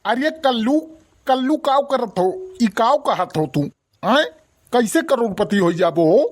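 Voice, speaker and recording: A woman's voice: fairly high pitch, expressive, unhurried. A woman with an Awadhi accent playing a mocking, admonishing older village uncle in a comic cartoon.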